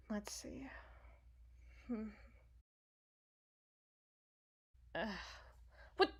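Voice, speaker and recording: Woman as female villain's field medic, slow deliberate pace, sardonic, brash, protective, dramatic ASMR roleplay delivery, medium pitch. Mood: sardonic, concerned. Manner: sardonic, dramatic, swings from hushed muttering to outbursts. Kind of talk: intimate ASMR audio roleplay.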